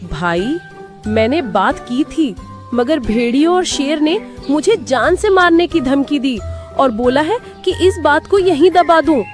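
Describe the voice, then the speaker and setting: A woman's voice: high-pitched, lively intonation, unhurried. A woman voicing a cunning, manipulative female fox in a cartoon, with dramatic delivery. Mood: feigned outrage. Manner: sly, manipulative, theatrically dramatic. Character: cunning scheming female fox plotting to rule jungle. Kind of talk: Hindi cartoon voice acting. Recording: background music behind the voice.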